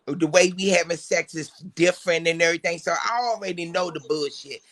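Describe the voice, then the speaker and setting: A woman's voice: on the low side, steady tone, fairly quick. A woman talking bluntly and casually, swearing as she tells relationship stories in a group chat. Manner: blunt, profane. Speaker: talk show panelist.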